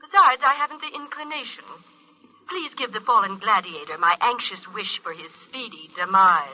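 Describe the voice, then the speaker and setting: An actress playing an icy, haughty, sardonic society wife in an old-time radio drama, speaking with cool disdain. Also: female voice, mid-range voice, animated, moderate pace, natural voice. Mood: icy, mocking. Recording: tinny old radio telephone sound.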